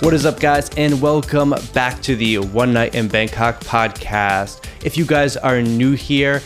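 A man from Boston hosting a podcast, chatting in an upbeat, casual way with quick asides. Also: medium pitch, music in the background. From Boston, USA. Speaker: podcast host.

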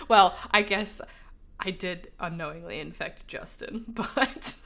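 A woman's voice: fairly low pitch, takes it slowly, creaky, a bit bright. Chatty woman, laughing often. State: slightly congested, recovering from illness. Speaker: podcast co-host. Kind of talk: casual chat.

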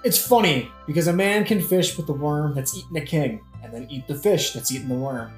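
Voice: medium pitch, fairly quick, lightly acted. An actor playing a flippant, sardonic, brooding young prince in a satirical modern-language audio play. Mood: flippant, morbid. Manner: flippant, mocking, theatrical. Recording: roomy echo, faint music behind.

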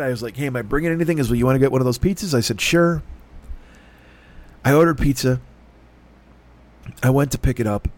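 A middle-aged man from Chicago with a Chicago accent, telling a long exasperated story in a heated, profane rant. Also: medium pitch. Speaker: podcast host.

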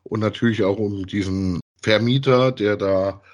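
A man's voice: on the low side, fairly even intonation, a little slow. Casual man talking in loose rambles. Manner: colloquial, rambling, ends phrases with tag questions. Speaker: podcast host. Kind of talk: casual conversational review of audio dramas.

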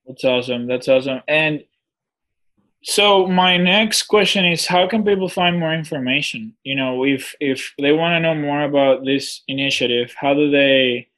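A man hosting a podcast, asking friendly, slightly halting questions. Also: fairly high pitch, a bit bright, poor audio. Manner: friendly, hesitant questions with fillers. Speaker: podcast host. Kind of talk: casual interview.

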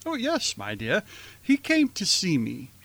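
A man playing a fond, mild elderly gentleman father in a radio play, with a musing, hesitant manner. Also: sits high, huge pitch swings, unhurried, gravelly, no echo, exaggerated voice. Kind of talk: radio theater acting.

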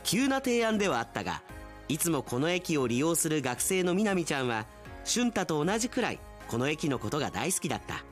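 A male radio announcer reads drama narration in an articulate storytelling way. His voice sits a little high and moves expressively up and down. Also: big pitch swings, brisk, soft background music.

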